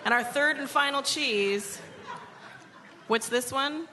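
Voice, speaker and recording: Higher-voiced woman hosting before a noisy crowd. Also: takes it slowly. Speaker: radio show host. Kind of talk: live stage variety show hosting. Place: stage before a live audience. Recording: live stage with audience noise.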